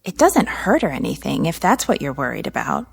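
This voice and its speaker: An actress playing a breezy, pushy young friend in a radio drama, insistent and dismissive. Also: female voice, sits low, wildly swooping pitch, brisk, creaky, husky, faint music behind, exaggerated voice. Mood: breezy, coaxing. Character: breezy conformist young woman, pushy, impatient best friend.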